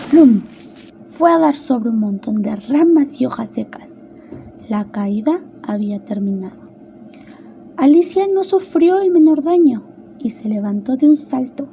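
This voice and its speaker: A woman narrates a storybook radio drama in a high-pitched voice. The tone is extremely soft and mellow, with faint ambient noise behind her.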